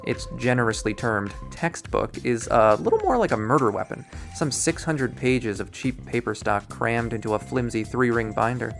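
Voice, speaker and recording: A man's voice: medium pitch, expressive, moderate pace, gravelly, few pauses. A man reading a story aloud as scripted narration, with a dramatic, wry delivery. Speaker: visual novel readthrough player and narrator. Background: background music.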